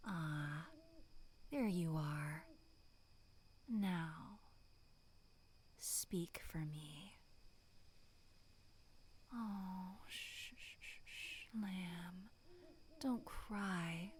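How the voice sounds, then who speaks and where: A woman voicing a sinister, playful demonic monster in dark ASMR, breathy, half-whispered, purring and teasing. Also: medium pitch. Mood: coaxing. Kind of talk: dark ASMR horror roleplay.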